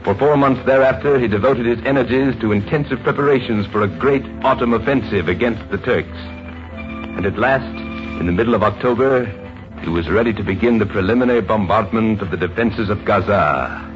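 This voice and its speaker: A man narrating a 1950s radio drama in a grave, deliberate, suspenseful scripted style. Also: fairly low pitch. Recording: muffled vintage radio recording. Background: dramatic music.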